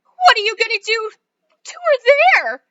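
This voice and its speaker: A woman's voice: very animated, racing, pushed and bright, lo-fi sound, a little hiss or hum, heavily acted. A woman reading a story aloud and voicing a panicked mother who shrieks and screams. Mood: screaming. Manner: shrill, screaming.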